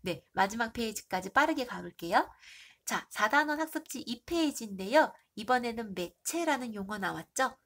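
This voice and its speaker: A woman teacher with a stuffy nose and a hoarse throat, reviewing for an exam and asking the class questions she then answers herself. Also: on the high side, animated, moderate pace, a bit bright. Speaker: middle school Korean teacher.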